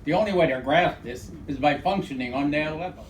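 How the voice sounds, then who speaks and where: A man's voice: a little high, a little fast, close to an everyday voice. A man playing a pompous, defensive toy manufacturer in a radio play, with an earnest manner. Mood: indignant, pompous. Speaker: actor. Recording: echoey, distant, faintly noisy rehearsal recording.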